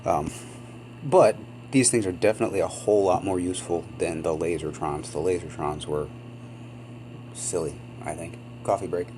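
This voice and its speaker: Low-voiced man with a sniffly cold. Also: normal speed, background noise. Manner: rambling, apologetic, with frequent ums. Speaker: gaming channel host. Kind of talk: casual gameplay commentary.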